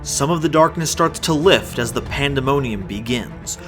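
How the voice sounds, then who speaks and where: A man's voice: medium pitch, animated, moderate pace. A man giving dramatic scripted narration for an audio drama. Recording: music behind the voice.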